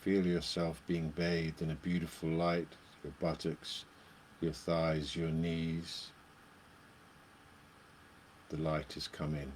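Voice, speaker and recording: A man leading a guided meditation, speaking in short phrases with long pauses between them. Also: rumbling low, level tone, darkish tone, slight background noise.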